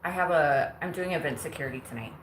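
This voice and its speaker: Low-voiced chatty woman in her forties. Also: quick pace, echoey room, slight background noise, rough recording. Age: 40s. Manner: chatty, self-teasing, laughs often. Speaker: vlogger. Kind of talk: casual live-stream chat. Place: home kitchen.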